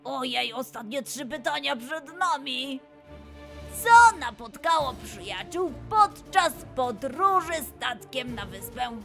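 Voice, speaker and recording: A woman playing a forgetful, excitable young male lion who frets and exclaims in a children's audio quiz show. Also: sits high, slow pace, heavily acted. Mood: eager. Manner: fretful, exclaiming, self-doubting. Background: music.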